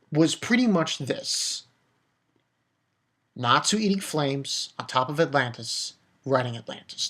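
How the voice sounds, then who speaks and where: Casual vlogger voice for a manga rant: medium pitch, blunt, foul-mouthed, mocking, animated.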